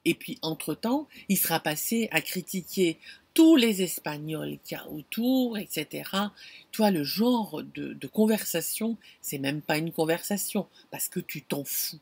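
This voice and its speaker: Husky woman telling a story to camera. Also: fairly low pitch, unhurried, no room sound. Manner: animated, expressive, addresses the viewer directly. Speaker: vlogger. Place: inside a camper van. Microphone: camera close in front.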